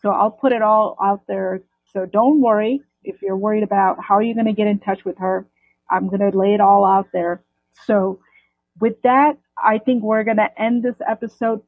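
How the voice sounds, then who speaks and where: A woman's voice: mid-range voice, steady tone, normal speed, dry recording. A woman hosting a podcast, praising her guest effusively and wrapping up the episode. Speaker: podcast host. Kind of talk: casual interview talk. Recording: tinny phone-like dry line.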